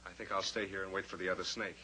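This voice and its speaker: An actor playing a steadfast, brave cowboy pilot in an old-time radio drama, firm and terse. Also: male voice, low voice, level tone, racing, sharp tone, low-quality recording. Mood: calm resolve. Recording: old radio recording with faint hiss.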